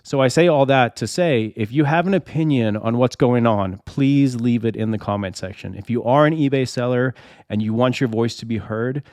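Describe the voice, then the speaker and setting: A man's voice: medium pitch, darkish tone, no echo. A man with an ordinary mid-range voice who is a little under the weather, so his voice sounds slightly off. He talks casually to his viewers and works through a long made-up example, asking rhetorical questions and repeating himself. The sound is dry and close, with no room in it. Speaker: reseller channel host. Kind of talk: casual explainer to camera.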